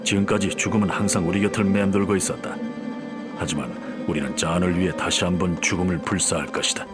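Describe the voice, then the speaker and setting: A man's voice: deep voice, fairly even intonation, loud music behind the voice, close to an everyday voice. A man playing a weary, grave French soldier narrating his war diary in a video game cutscene. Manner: grave, measured storytelling. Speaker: campaign narrator.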